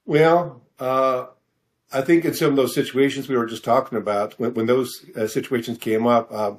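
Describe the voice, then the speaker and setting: An older man answering interview questions as a guest author, speaking in a hesitant, halting, rambling way. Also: mid-range voice, fairly even intonation. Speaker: novelist guest being interviewed.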